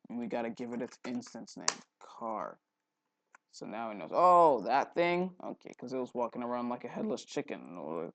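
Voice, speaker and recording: A man hosting a casual software tutorial, thinking aloud informally with mild exclamations. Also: medium pitch, gravelly, no echo. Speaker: tutorial host.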